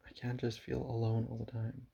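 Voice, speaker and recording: A young man talking quietly into his camera at home in a confessional vlog, halting and subdued, with frequent sighs. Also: mid-range voice, monotone, creaky, slightly rough. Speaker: vlogger. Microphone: camera mic. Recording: dull lo-fi camera audio.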